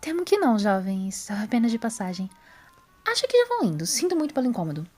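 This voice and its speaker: A woman voicing a nervous, socially awkward female time traveller in an audio story, hurried and apologetic. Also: a little high, sing-song, darkish tone, soft background music. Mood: flustered, apologetic. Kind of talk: audio drama character voice.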